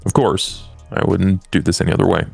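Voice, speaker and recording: A man playing a dry-witted, courteous vampire fixer in a tabletop roleplay, deliberate and deadpan. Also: low-pitched, creaky, slightly rough, faint music behind, exaggerated voice. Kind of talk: tabletop roleplay actual play.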